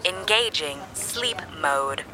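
A calm female computer, voiced by an actress for an audio drama. It speaks slowly in a lowish, crisp-edged bright tone, measured and impersonal, with faint noise behind. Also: full character voice, rough recording. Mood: impassive.

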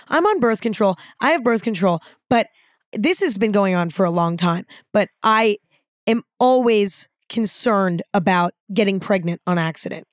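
A young woman in her twenties with a raspy voice, talking frankly and casually on her own podcast, with some swearing. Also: mid-range voice, dry recording, clean studio sound. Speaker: podcast host. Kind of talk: candid solo podcast talk.

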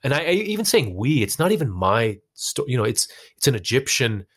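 A man's voice: mid-range voice, big pitch swings, a little fast, breathy edge. An American man chatting casually as an interview guest, feeling his way through thoughts in informal, meandering phrasing. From United States. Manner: informal, hesitant phrasing with frequent "like" and "you know". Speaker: interview guest, actor and musician.

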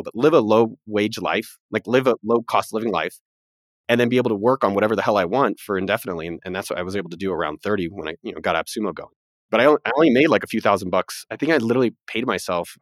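Man, late thirties, rapid talker, joking, low-pitched voice, casual podcast host. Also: dry recording, clean studio sound. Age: 30s. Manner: quick, joking, self-deprecating. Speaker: podcast host, business founder.

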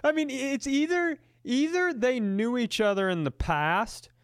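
A man with a smooth, resonant voice recorded very dry tells casual comic stories as a podcast host. He is animated and profane, leaning into exaggerated disbelief as he banters. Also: extremely high pitch, measured pace, dry recording. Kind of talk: casual comedic storytelling banter.

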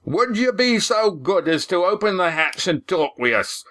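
A man voicing a huge, loud, boisterous sailor with rustic nautical speech in an audiobook story. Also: big pitch swings, normal speed. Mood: hearty, demanding. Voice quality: loud, booming. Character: huge-voiced boisterous sailor leader, rough and seafaring.

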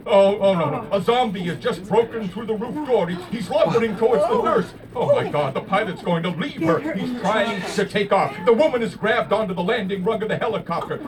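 An actor playing a horrified, melodramatic field reporter, halting as he reports from the scene in a radio drama. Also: sits high, lively intonation. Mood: alarmed. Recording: distant field broadcast, crowd clamour behind.

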